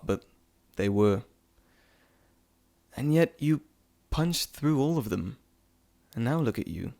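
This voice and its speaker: Guy doing a playful greek messenger god, chatty n fond, medium pitch, teasing you in an audio roleplay. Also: darkish tone. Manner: chatty, teasing, theatrical asides and pauses. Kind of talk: intimate audio roleplay to listener.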